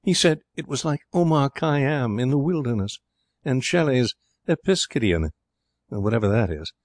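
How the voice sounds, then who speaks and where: A man's voice: mid-range voice, animated, normal speed, no echo, studio quality, pitched above the performer's own voice, close to an everyday voice. Man putting on a spirited, gushing young woman. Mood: exasperated, dramatic. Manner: gushing, emphatic. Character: spirited young woman, petulant, dreamy, dramatic.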